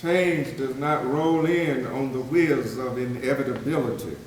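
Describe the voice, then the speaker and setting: A man as a measured, resolute orator, far off. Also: mid-range voice, a little mellow, lightly acted. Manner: measured, exhortatory. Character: resolute male civil rights orator urging struggle. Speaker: readers theatre performer. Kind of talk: oratorical stage speech. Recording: distant mic in echoing hall, faint noise.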